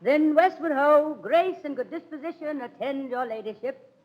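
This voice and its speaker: A woman's voice: high-pitched, normal speed, darkish tone, close to an everyday voice, poor audio. A woman playing a young noblewoman disguised as a page boy, speaking formal verse in 1930s radio Shakespeare style. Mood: earnest, guarded. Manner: theatrical, formal verse delivery. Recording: vintage 1930s radio broadcast.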